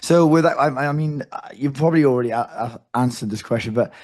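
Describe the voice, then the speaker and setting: A man with a hoarse, fading voice hosting a casual interview, chatty and rambling, with many restarts. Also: medium pitch, fast talker, darkish tone. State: losing his voice. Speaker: host.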